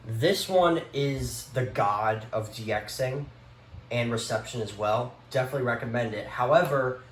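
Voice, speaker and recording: A man talks off the cuff in a roomy, echoing space with some background noise. He presents casually like a hobbyist showing a collection, hesitating, rambling and letting sentences trail away. His pitch sits in an ordinary middle range. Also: measured pace. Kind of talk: casual unscripted show-and-tell.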